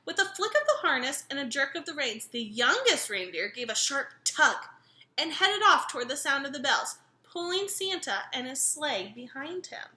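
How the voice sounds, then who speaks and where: A woman's voice: high-pitched, big pitch swings, moderate pace, pushed and bright, reverberant. A woman reading a children's picture book aloud expressively, engaging her young listeners as she goes. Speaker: read-aloud storyteller.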